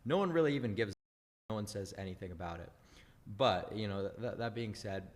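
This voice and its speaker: A man hosting a couple's session, giving his opinions in halting casual talk full of uhs and you knows. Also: on the low side, lively intonation, long reverb tail. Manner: halting, many uh and you know. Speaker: host giving advice.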